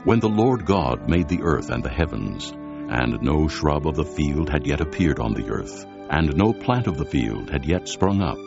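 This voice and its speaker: Bible narrator, male: extremely deep and gravelly, solemn with wide intonation, music underneath. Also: big pitch swings. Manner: solemn, measured storytelling. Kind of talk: dramatized scripture narration. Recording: dull lo-fi audio with music behind.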